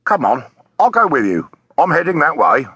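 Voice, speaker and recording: A man voices a placid constable in a story reading. He is mild and soothing, a little formal. The voice is husky and recorded dry. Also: fairly high pitch, big pitch swings, normal speed, sharp tone, no echo, dull audio, full character voice. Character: polite, placid, helpful male police constable. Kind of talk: audiobook character voice.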